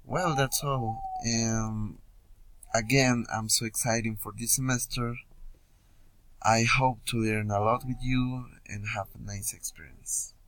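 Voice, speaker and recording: Slow, halting young man with Peruvian accent. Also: mid-range voice, fairly even intonation, fairly bright tone, a little hiss or hum, rough recording. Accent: Peruvian Spanish. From Puno, Peru. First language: Spanish. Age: young adult. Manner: halting, hesitant learner English with pauses. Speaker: student introducing himself. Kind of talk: informal self-introduction video.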